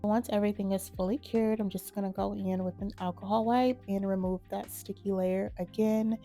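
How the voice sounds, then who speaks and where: Woman host, a little hoarse, warm mellow tone, calm how-to delivery, soft music underneath. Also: medium pitch, moderate pace. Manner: relaxed, matter-of-fact instructions. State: slightly hoarse from a cold. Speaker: nail art channel host. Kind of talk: casual step-by-step tutorial narration.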